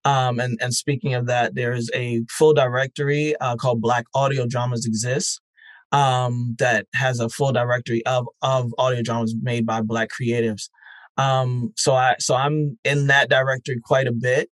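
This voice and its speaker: A man's voice: medium pitch, flat delivery, moderate pace, no room sound. Earnest, thoughtful man with a dry voice. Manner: thoughtful, earnest, many "um"s and restarts. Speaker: podcast festival founder, guest. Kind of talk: casual interview talk.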